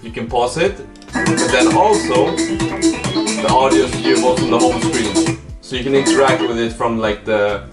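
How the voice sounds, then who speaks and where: A man's voice: mid-range voice, a little slow. A man talking informally and slangily, thinking aloud as he hosts a casual video diary. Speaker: host of a startup coding video diary. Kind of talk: casual vlog talk. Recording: echoey room, faint music behind.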